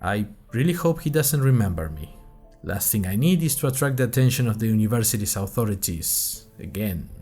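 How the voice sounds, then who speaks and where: A man's voice: on the low side, expressive, a little fast, darkish tone, soft background music. A man narrating a scripted first-person fiction podcast in a conversational way, with wry asides to his listeners.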